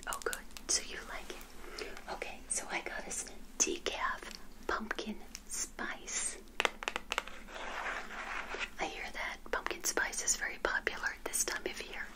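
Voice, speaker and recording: Older woman as a slow, whispering friendly witch. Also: close to an everyday voice, low-quality recording. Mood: welcoming. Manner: gentle, unhurried, repeats phrases softly. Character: friendly, eager, naive lonely witch serving tea. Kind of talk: whispered ASMR roleplay.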